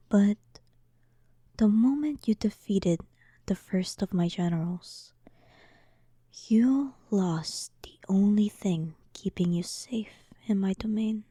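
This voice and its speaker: Demon queen for roleplay audio , female performer: dominant, sarcastic, unhurried, dark soft tone, very close and dry. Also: medium pitch, slightly rough, dry recording. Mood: sarcastic, amused. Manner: slow, teasing, condescending. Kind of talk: scripted roleplay audio drama.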